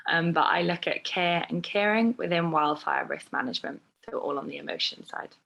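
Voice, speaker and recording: A woman's voice: on the low side, a little fast, gravelly, fairly bright tone, rough recording. A woman PhD student introducing herself cheerfully on a video call. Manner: cheerful, laughing lightly.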